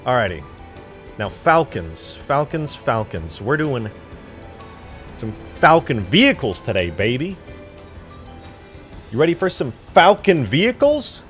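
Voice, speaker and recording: A man's voice: mid-range voice, wildly swooping pitch, slow pace, pauses often, soft background music. A man in his twenties chatting casually on a live stream while modding a game, rambling, joking and swearing freely. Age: 20s.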